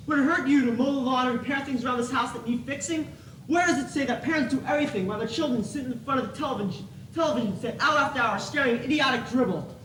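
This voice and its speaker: A man's voice: very high-pitched, a bit bright. A young man playing a stern, self-righteous father who nags and lectures in an amateur stage comedy. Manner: preachy, stern. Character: nagging, self-righteous father lecturing his lazy son. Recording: echoey distant stage, faint tape noise.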